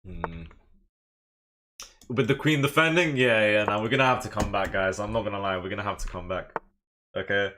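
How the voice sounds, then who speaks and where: Low-voiced English man musing aloud on stream. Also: moderate pace, long pauses, bright, forceful tone, a little hiss or hum, low-quality recording. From England. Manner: muses moves half to himself, chats to viewers. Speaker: live streamer playing online chess. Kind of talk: casual livestream chat and thinking aloud.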